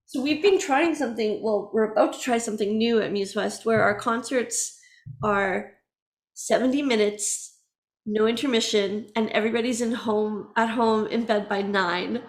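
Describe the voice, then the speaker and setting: A woman's voice: fairly high pitch, moderate pace. A woman hosting a casual interview for a music organization, upbeat and chatty, laughing between questions. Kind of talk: casual video-call interview.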